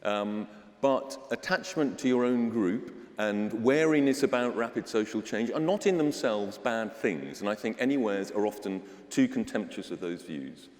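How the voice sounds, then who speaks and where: A man's voice: on the low side, animated, moderate pace, darkish tone, in a large hall. A man on a panel, an author, defending his argument with wry asides.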